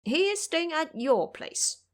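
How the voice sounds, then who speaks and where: A woman voicing a cunning dark male monster king who talks with courtly politeness, in a storybook reading. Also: high voice, sing-song, slow, pitched above the performer's own voice, full character voice. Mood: puzzled.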